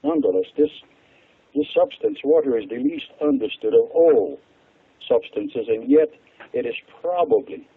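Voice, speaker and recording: An older man from Hungary with a Hungarian accent, a guest expert explaining at length on a radio interview in a lively, wide-swinging voice. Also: mid-range voice, moderate pace, gravelly, husky. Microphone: phone line. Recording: tinny phone line.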